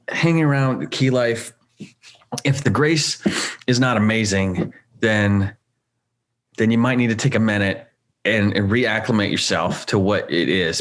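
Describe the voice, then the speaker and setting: A man, a regular on a chat show, talking with laughs and hesitant restarts. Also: lowish voice. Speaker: regular co-host.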